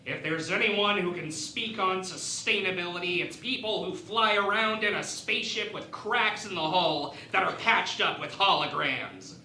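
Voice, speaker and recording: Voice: mid-range voice, normal speed, few pauses, pushed and bright. An actor playing an indignant, imperious alien ambassador in a sci-fi parody radio play, with a haughty, sarcastic manner. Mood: dry, wary. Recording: roomy, faint background noise.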